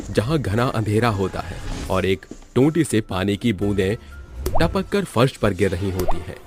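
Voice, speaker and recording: A man narrating a scripted horror story in a dramatic storytelling manner. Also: on the low side.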